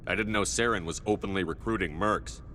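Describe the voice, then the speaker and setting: Forceful commander in reverberant space. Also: male voice, low voice, brisk, a little hiss or hum. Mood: probing. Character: confident, probing male military commander. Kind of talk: video game character dialogue.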